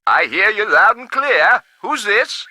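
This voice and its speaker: A man's voice: high voice, sing-song, slow, bright, forceful tone, dry recording, heavily acted. An actor playing a brash, condescending male radio operator who talks in cocky slang in a game. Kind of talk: game voice acting.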